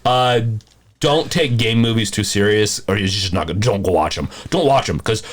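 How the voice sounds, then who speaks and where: A man hosting a podcast in a casual, jokey, animated chat style. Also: on the low side, moderate pace. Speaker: podcast host.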